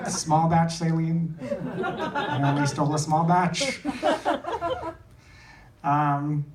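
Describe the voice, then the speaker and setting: A man's voice: fairly high pitch, lively intonation, takes it slowly, over a noisy crowd. A man from India performing a stand-up comedy routine, delivering short phrases with a dry, self-deprecating manner. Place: small comedy theater, on stage before an audience. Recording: echoey club, distant mic, loud noise.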